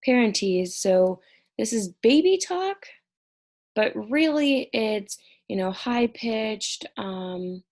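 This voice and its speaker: Young woman with vocal fry. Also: a little high, expressive, takes it slowly, darkish tone. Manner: conversational, explanatory teaching. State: stressed and short on sleep. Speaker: college instructor. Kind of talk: recorded exam review lecture. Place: at home.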